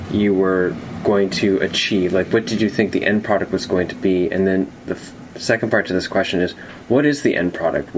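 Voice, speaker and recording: A man's voice: sits low, slight background noise, low-quality recording. A man hosting a podcast in a living room, asking curious, rambling questions in several parts. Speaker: podcast host. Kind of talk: interview questions.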